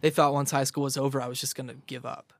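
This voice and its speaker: A man's voice: mid-range voice, level tone, quick pace, dry recording. A young man in casual interview chat as a guest musician, rambling, repeating words and laughing often.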